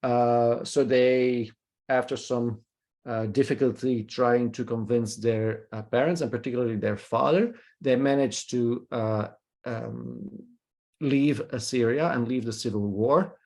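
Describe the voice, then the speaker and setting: A man's voice: medium pitch, unhurried, darkish tone. Man, video call, discussion leader, lots of fillers, tag-question checks. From Belgium. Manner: hesitant, many filler sounds and questioning "hmm?" checks. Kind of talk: leading a virtual film discussion.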